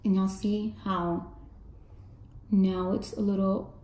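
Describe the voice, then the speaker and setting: A young woman with a mellow, slightly raspy voice that sits deep for a woman. She chats casually to her viewers in slang, with candid asides, while she does her makeup at home. The room adds a clear echo to her voice. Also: level tone, slow. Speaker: makeup artist and beauty vlogger. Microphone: camera in front, face framed close.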